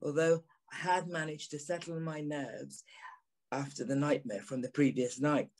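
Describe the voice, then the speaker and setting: A man playing a troubled, earnest gentleman in an audio play, speaking in a deliberate, dramatic manner. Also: on the high side, steady tone, close to an everyday voice. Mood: subdued, urgent. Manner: deliberate, dramatic, halting repetitions. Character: troubled gentleman narrator, earnest, haunted by a nightmare.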